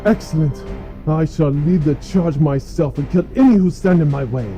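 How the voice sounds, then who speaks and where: A man's voice: a little high, moderate pace, mellow, soft background music, natural voice. A man playing an imperious, vengeful archduke villain in a comic audio drama, pompous and declamatory with menace. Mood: gloating menace.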